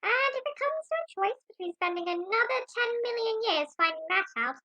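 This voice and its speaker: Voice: very high voice, sing-song, brisk, bright, forceful tone, no room sound, lo-fi sound, heavily acted. An actor voicing a pompous, jaded talking mouse in an amateur audio drama. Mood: scheming. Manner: pompous, exasperated.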